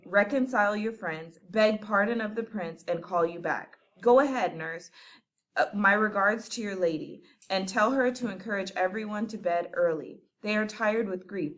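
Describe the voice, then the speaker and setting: A woman's voice: medium pitch, natural voice. A woman voicing a stern, fatherly older male friar who scolds and advises in a scripted audio drama. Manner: scolding, urgent, lecturing. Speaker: voice actor.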